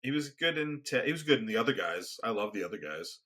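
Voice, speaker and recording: A soft-spoken man co-hosting a casual podcast, rambling with frequent restarts. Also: expressive, quick pace. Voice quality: booming when raised. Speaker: podcast co-host. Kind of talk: casual conversation. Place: home studio, remote recording.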